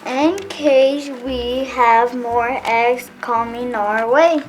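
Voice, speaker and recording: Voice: medium pitch, steady tone, drawn-out words, rough recording. An amateur performer with a youthful female voice playing a helpful storybook dragon in reader's theater, reading carefully. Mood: matter-of-fact. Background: faint music.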